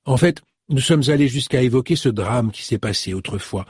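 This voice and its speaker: A man's voice: medium pitch, lively intonation, a little mellow, slightly rough, no room sound, studio quality. A man voicing a polite, composed middle-aged woman in an audiobook, in a slightly raised voice. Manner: courteous, measured.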